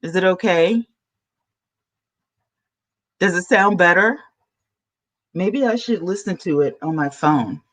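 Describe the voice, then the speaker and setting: A woman YouTuber, chatting excitedly to her viewers from home while she sets up a new microphone. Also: fairly low pitch, takes it slowly, long pauses. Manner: chatty, excitable, talks to chat. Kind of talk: casual vlog chat to viewers. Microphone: camera mic, then a new plug-in USB mic.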